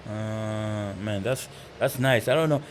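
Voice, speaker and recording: A man hosting a podcast, talking in a relaxed, slang-heavy way and laughing often. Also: lowish voice, slow, slight background noise. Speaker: podcast host. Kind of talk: casual podcast interview.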